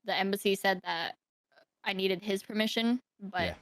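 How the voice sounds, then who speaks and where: A woman's voice: mid-range voice, steady tone, normal speed, dry recording. A young woman from Thailand telling her life story as a podcast guest, hesitant, with many fillers and restarts. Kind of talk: casual interview storytelling.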